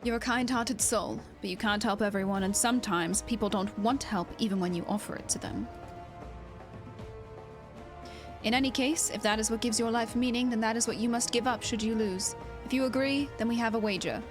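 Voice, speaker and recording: A woman's voice: on the high side, fairly quick, few pauses, over music, natural voice. Woman as a proud, haughty male wolf champion. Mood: solemn.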